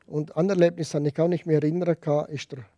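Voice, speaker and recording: A man with a Liechtenstein accent telling his personal story candidly as a podcast guest, emphatic and repeating phrases, in a gravelly, husky voice. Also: a little high, little pitch movement, fast, warm tone, no echo, highs cut off.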